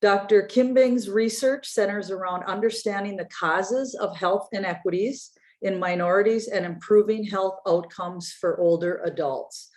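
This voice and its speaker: A woman moderating an online event, introducing speakers in a hesitant way with frequent pauses mid-sentence. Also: mid-range voice, a little mellow. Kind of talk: event introduction with halting delivery.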